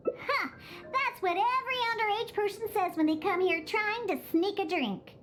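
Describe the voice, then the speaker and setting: A woman's voice: extremely high pitch, moderate pace, a bit bright, a little hiss or hum. A woman voicing a grumpy, sassy young cat-girl bartender in an anime game, childlike and dismissive. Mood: scornful.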